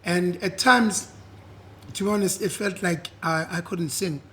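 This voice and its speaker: A young South African man with a husky voice, chatting easily as an interview guest and mixing in isiZulu. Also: sits high, a little slow, a little hiss or hum. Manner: relaxed, switches between English and isiZulu, repeats "yeah". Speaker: guest, singer. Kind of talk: casual interview chat.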